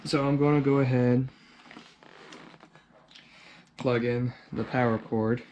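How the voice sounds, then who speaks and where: Male DIY vlogger, mid-pitched, informal how-to talk, thinking aloud mid-task, background noise. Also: fairly even intonation, normal speed, a little mellow, muffled recording. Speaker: DIY video host. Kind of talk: casual how-to narration while working. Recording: clear background noise with handling clicks.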